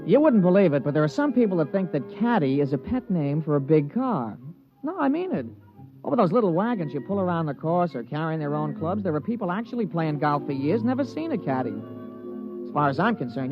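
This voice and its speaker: An opinionated American golf caddy telling a story on old radio. He is chatty and quick, with big emphatic swings in pitch. Music plays clearly behind the dull recording. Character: brash, opinionated working-class male golf caddy. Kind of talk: 1950s radio drama narration, comic.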